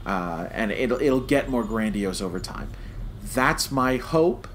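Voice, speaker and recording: A man's voice: medium pitch, expressive, slow. Emphatic man reviewing, noisy recording. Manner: emphatic, repeats phrases for stress, checks with "okay?". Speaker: podcast co-host. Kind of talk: opinionated review discussion.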